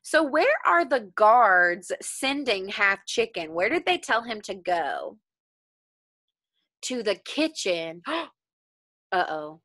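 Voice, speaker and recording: A young adult woman teacher reading a story aloud to children and explaining it with simple, deliberate questions. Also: medium pitch, big pitch swings, sharp tone. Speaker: elementary school teacher.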